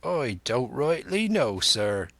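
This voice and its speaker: A man's voice: medium pitch, big pitch swings, takes it slowly, vocal fry, fairly bright tone, no room sound, full character voice. A man voicing a dim, deferential old sailor with uneducated seaman's grammar, in a storybook reading. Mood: sheepish. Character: sheepish, dim old grey-haired sailor.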